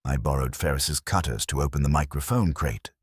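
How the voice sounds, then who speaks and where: A man's voice: a bass voice, a little fast, slightly rough, no room sound, studio quality. A man voicing an earnest, defensive technician's assistant in a mystery story, explaining plainly in clipped phrases.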